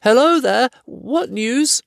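A man's voice: very high-pitched, animated, slow, creaky, dry recording, voice pushed up, heavily acted. A man voicing a small, kindly male hobbit who talks in an anxious, self-deprecating way. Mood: shaky. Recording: dry close recording.

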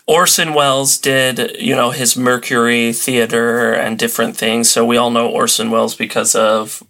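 Male podcast guest, mid-range voice, casual explainer with a halting, thinking-aloud delivery. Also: mid-range voice, level tone, a bit bright. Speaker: podcast guest, old-time radio enthusiast.

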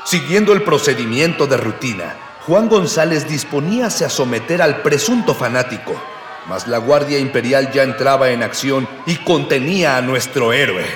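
A man's voice: medium pitch, expressive, normal speed, music in the background, close to an everyday voice. A man with a Mexican accent playing a pompous, grandiloquent narrator of a mock-heroic radio adventure serial. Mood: urgent, dramatic. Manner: pompous, grandiloquent, archaic phrasing. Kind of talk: florid old-fashioned radio serial narration. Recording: roomy reverberant sound with crowd behind.

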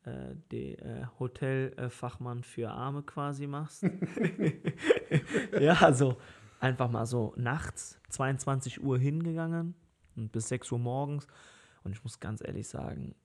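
A relaxed man in his thirties chats on a podcast about his early working life. He talks loosely, with plenty of filler words, and laughs easily. His voice sits at an ordinary middle pitch. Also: slow, creaky. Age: 30s. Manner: easygoing, laughs often, many fillers. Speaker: party organizer. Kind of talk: casual podcast chat.

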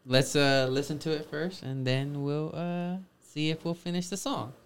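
A man's voice: fairly high pitch, fairly even intonation, normal speed, clean tone. A man co-hosting a podcast in casual chat, blunt and slangy, arguing his points and swearing freely. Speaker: podcast co-host.

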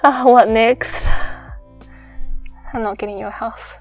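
A woman's voice: on the high side, steady tone, takes it slowly, no room sound, soft background music. A woman whose first language is Chinese, co-hosting a casual podcast and chiming in with easy, short replies. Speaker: podcast co-host. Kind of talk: casual chat.

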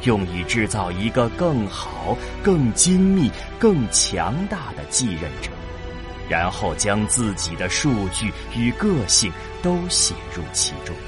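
A man's voice: lowish voice, sing-song, a little slow. A man narrating a science fiction audio drama as a scripted, dramatic story. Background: prominent background music.

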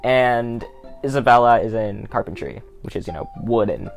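A teenage American boy hosts a casual interview podcast. His pitch moves around a lot as he talks, and he slips into sarcastic asides. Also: lively intonation, moderate pace, creaky, faint music behind.

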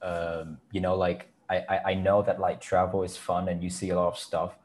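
A man's voice: low-pitched, little pitch movement, normal speed, a little mellow, a little hiss or hum. A man with a Vietnamese accent chatting casually as a podcast host, in informal non-native English. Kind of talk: casual chat.

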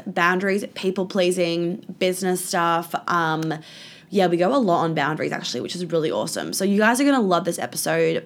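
A woman with a husky, hoarse voice from laryngitis, hosting a podcast in a casual, candid chat and swearing freely. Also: fairly low pitch. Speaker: podcast host and life coach. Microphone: video call.

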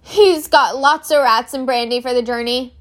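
A woman reading aloud voices a big soft-hearted man who fusses over a baby dragon. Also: sits high, normal speed, pitched above the performer's own voice, close to an everyday voice. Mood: tender. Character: big soft-hearted male giant groundskeeper.

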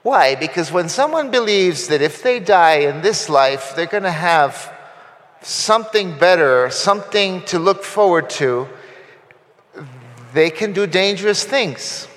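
A man's voice: high-pitched, animated, a little slow, fairly bright tone, long reverb tail. A man from Costa Rica giving a conversational sermon, with frequent fillers and restarts. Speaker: guest preacher. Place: church sanctuary.

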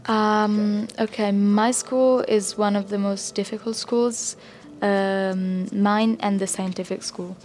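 Flat-toned young woman. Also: medium pitch, takes it slowly, a little hiss or hum. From Italy. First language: Italian. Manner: hesitant with fillers. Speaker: guest student. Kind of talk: casual interview answers.

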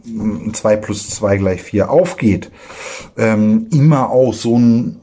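A man's voice: fairly low pitch, slow, dark tone, slightly rough. A man co-hosting a podcast, rambling casually and leaning on filler words. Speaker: podcast co-host.

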